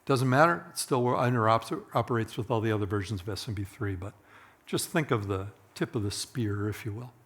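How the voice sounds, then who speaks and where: A middle-aged man with a slightly hoarse voice, presenting a technical conference talk in an informal, conversational way, thinking aloud as he goes. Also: lowish voice, a little fast, a bit bright. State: voice getting hoarse.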